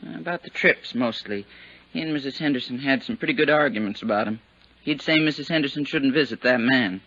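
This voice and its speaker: An actor in a 1950s radio drama playing a loyal, plain-spoken ranch servant, halting and deferential, with unpolished folksy speech. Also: male voice, medium pitch, sharp tone. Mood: guarded. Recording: dull 1950s radio broadcast recording.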